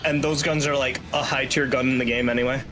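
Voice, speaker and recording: A young man doing casual live commentary as a speedrunner, in a halting way that repeats and restarts phrases. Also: medium pitch, steady tone. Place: indoor room at home, webcam stream. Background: video game music and vehicle-like game sounds.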